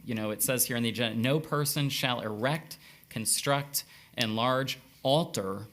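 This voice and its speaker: A man's voice: medium pitch, moderate pace, fairly bright tone, a little hiss or hum. A man presiding over a county code hearing, asking follow-up questions in a conversational way. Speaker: special master presiding.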